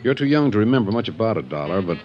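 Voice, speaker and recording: A man's voice: fairly low pitch, very animated, very fast. An actor playing a world-weary, sardonic former Prohibition gangster in his fifties in a 1950s radio drama, guarded and musing. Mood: wry reminiscing. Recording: muffled 1950s radio recording, music behind.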